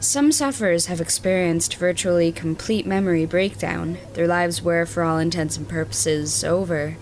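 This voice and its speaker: Female ship's doctor played by an actress, lowish voice, measured, clinical, sci-fi audio drama, faint background noise. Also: a little mellow, close to an everyday voice, rough recording. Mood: grave, explanatory. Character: matter-of-fact female starship doctor. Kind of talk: science fiction audio drama acting.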